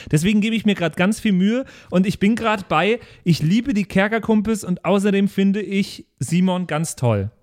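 Slightly high-voiced man in comic podcast chat. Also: animated, normal speed, few pauses. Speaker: podcast player. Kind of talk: casual comic promo chat.

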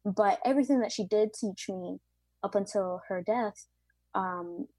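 A woman's voice: medium pitch, measured pace, a little mellow, no echo. A woman in her late twenties, a pastry chef being interviewed, speaking earnestly and reflectively with frequent fillers. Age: late 20s. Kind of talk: interview conversation.

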